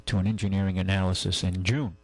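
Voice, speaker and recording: A man hosting a call-in radio show, talking casually and haltingly, rambling as he goes and reading out items. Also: low voice, level tone, no room sound. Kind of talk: casual talk radio, reading news and chatting. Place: radio studio. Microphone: close studio microphone.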